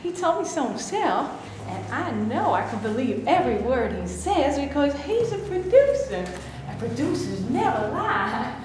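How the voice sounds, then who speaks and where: A woman's voice: high voice, very animated, measured pace, a little mellow. A woman playing a ditzy, vain starlet. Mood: chirpy. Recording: echoey room with noise.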